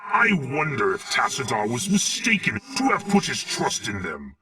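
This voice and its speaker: Forceful, husky alien warrior. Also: male voice, medium pitch, wildly swooping pitch, normal speed, far from the mic, full character voice. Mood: grim. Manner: formal, dramatic, martial. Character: earnest alien warrior adviser to a commander. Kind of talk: theatrical video game acting.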